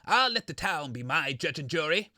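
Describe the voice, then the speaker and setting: A man's voice: on the high side, sing-song, moderate pace, pushed and bright, no room sound, studio quality, exaggerated voice. A man with a British accent playing a grumpy, unrepentant, self-righteous radio co-host in scripted comedy, stubborn and dryly sarcastic. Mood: defiant.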